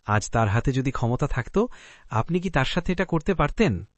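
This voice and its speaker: A man's voice: mid-range voice, sing-song, normal speed, dry recording, natural voice. A man playing an indignant, righteous young man in a cartoon, solemn and reproachful toward an elder. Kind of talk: Bengali cartoon voice acting.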